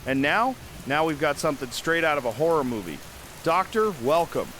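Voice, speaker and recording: An actor playing a weary, shaken survivor hosting an emergency radio broadcast in a drama, with plainspoken, informal, uneasy delivery. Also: male voice, on the high side, big pitch swings, moderate pace, fairly bright tone, slight background noise, poor audio.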